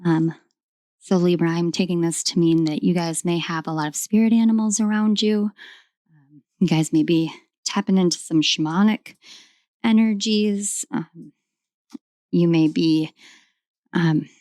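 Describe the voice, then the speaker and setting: A young woman with a hoarse, raw voice that sits on the lower side, speaking close and dry at home. She rambles fondly through a tarot reading, filling pauses with ums. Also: steady tone, a little mellow, no echo. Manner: rambling, affectionate, many ums, talks to her pets. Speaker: tarot reader. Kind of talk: casual tarot reading to camera. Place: at home in a room.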